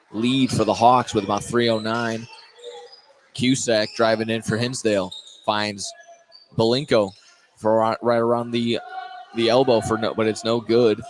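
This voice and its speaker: Male play-by-play announcer, lowish voice, halting live basketball calls with self-corrections, faint gym noise behind. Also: level tone. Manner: halting, repeats and corrects himself mid-call. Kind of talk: live basketball radio commentary. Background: gym ambience with bouncing basketballs.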